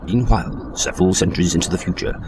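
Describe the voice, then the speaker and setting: A man's voice: sits low, fast talker, background noise, natural voice. A man playing a pompous, wry, self-aware storyteller narrating a comic audio drama. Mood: wry, grand. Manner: mock-grand, wry.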